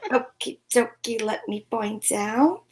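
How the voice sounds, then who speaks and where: A woman's voice: fairly high pitch, slow. A woman bantering casually in asides during a script read.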